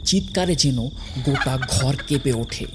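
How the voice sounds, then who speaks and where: A man's voice: medium pitch, normal speed, noisy background. A man narrating a horror story in a dramatic, scripted storytelling style.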